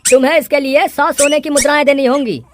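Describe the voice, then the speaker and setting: A woman voicing a greedy, wheedling male village thief in an animated storybook tale. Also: high-pitched, fast, heavy background noise, close to an everyday voice. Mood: sly, eager.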